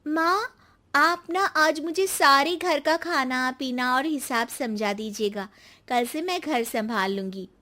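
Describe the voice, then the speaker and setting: A woman playing a suspicious, sharp-tongued young daughter-in-law in a family moral story, sweet to elders and snide in asides. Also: high-pitched, slow, voice pushed up, heavily acted. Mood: polite.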